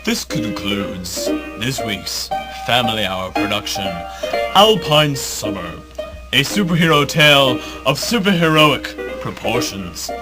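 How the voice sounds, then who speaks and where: A man's voice: medium pitch, big pitch swings, slow pace, over loud music, rough recording. Pompous theatrical radio storyteller played by a man. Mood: stately, genial. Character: grandiose storyteller host of superhero radio drama. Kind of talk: scripted radio drama narration.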